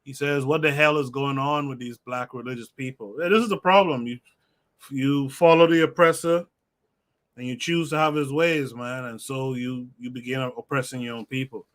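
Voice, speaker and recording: A man of ordinary pitch hosts a livestream podcast. He reads viewer comments aloud and passes the floor to his guests in an easygoing way, adding short remarks of his own. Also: fairly even intonation, moderate pace, fairly bright tone. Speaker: podcast host. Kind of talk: moderating a livestream discussion.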